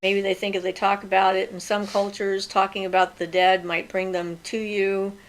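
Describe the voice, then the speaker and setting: A woman's voice: mid-range voice, little pitch movement, normal speed, poor audio. An older woman hosting a livestream from home, chatting casually and answering viewers' questions as she thinks aloud. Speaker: host of a magic Q&A livestream.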